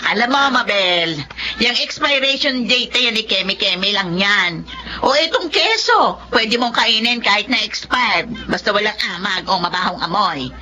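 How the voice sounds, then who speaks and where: A woman's voice: medium pitch, lively intonation, normal speed, bright, forceful tone, muffled recording. A woman with a Filipino accent playing a thrifty, brash middle-aged eatery owner in a radio drama, chatty and dismissive. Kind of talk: Tagalog radio drama acting.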